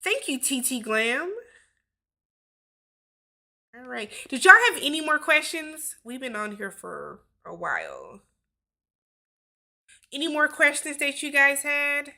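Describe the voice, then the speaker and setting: Chatty woman host in her forties, bright and slightly high voice, loose livestream Q&A. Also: very animated, slow, creaky, pauses often. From Milwaukee, Wisconsin. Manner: chatty, laughs often, reads viewer comments aloud. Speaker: YouTube host. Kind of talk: casual livestream Q&A chat.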